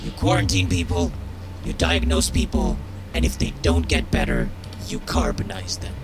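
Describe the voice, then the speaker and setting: A man's voice: low-pitched, level tone, normal speed, music in the background. An actor playing a stern, jaded military sergeant in a game, cold, contemptuous and authoritative as he drills a recruit. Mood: instructive, stern. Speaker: game character voice. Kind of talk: scripted game dialogue.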